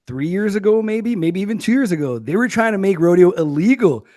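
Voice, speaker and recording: A man's voice: high voice, expressive, a little fast, warm tone, no echo, clean studio sound. A man hosting a podcast, emphatic and joking, asking questions in a casual interview. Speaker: podcast host.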